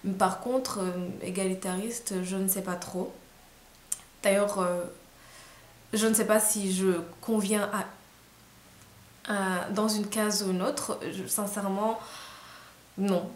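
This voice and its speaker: A woman's voice: medium pitch, fairly even intonation, takes it slowly, long pauses. Tired hesitant young woman. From Rwanda. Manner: hesitant, many fillers and restarts, soft laughs. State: tired, late at night. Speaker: vlogger. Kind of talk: casual reflective monologue to camera. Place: small room indoors. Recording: roomy echo, faint hiss.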